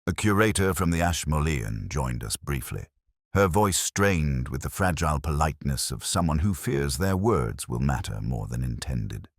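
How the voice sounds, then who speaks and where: A man plays a brooding older detective telling a mystery story in an extremely deep voice. His delivery is measured and literary, with a lively rise and fall, recorded close and dry. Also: no echo. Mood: brooding, reflective. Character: brooding older male police detective narrating his case. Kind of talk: scripted first-person mystery narration.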